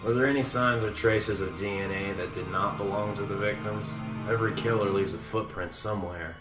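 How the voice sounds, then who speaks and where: An actor playing a cynical, sarcastic big-city detective in a radio drama, blunt and skeptical. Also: male voice, lowish voice, fairly even intonation, brisk, natural voice. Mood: probing, skeptical. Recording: roomy echo with music behind. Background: music.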